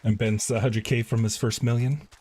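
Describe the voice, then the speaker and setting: A man live-streaming a video game, chatting casually and reading quest text aloud in an informal, halting way. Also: medium pitch, fairly even intonation, a little mellow, slightly rough.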